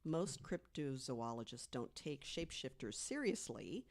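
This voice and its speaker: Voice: mid-range voice, big pitch swings, a little slow. An American podcast co-host telling a researched story in a conversational way, partly from prepared notes.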